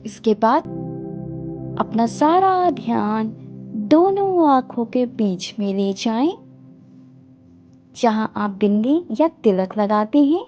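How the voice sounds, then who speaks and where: A woman narrating a guided meditation, giving gentle instructions in short, spaced phrases. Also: fairly high pitch, expressive, takes it slowly, pauses often. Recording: dull, dry close recording over music. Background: music.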